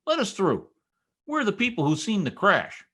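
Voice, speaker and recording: A man's voice: high-pitched, wildly swooping pitch, normal speed, pushed and bright, exaggerated voice. A man playing a boastful, folksy small-town husband full of slangy bluster in an old-time radio comedy. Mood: bossy. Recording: video call recording.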